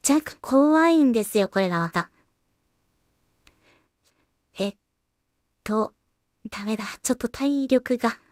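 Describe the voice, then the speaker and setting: Woman, casual work-along commentary, thinking aloud, mumbly, higher-pitched voice, very dry recording. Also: darkish tone, dry recording. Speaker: solo animation creator and streamer.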